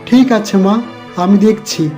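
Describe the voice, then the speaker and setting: A woman acting an elderly cartoon village headman with a dark, soft-edged tone and faint music behind. He is avuncular and a little impatient. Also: mid-range voice, slow, natural voice. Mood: concerned.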